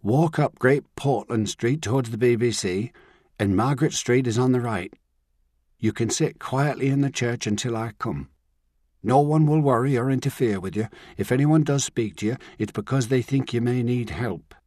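A man reading an audiobook, voicing a calm, composed older woman and grandmother who talks in a measured, reassuring way. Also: mid-range voice, dry recording, studio quality, pitched above the performer's own voice, natural voice. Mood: calm, firm.

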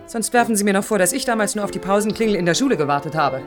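Schauspielerin als spöttische, lebhafte junge Frau. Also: female voice, medium pitch, lively intonation, racing, over music, natural voice. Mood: mocking, defiant. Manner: provocative, teasing, swooping intonation. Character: capricious, mocking, defiant young woman. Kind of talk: 1980s radio play dialogue.

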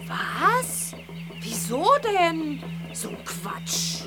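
A woman's voice: sits high, very animated, drawn-out words, loud music behind the voice, exaggerated voice. A woman playing a plucky, cheeky young witch girl in a children's audio drama, with emphatic delivery. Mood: puzzled. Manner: emphatic, chants rhyming spells.

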